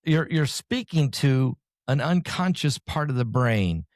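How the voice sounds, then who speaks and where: A man hosting a podcast, asking questions in a rambling, quick-paced way. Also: medium pitch, animated, no echo, studio quality. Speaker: podcast host. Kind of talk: casual interview questions.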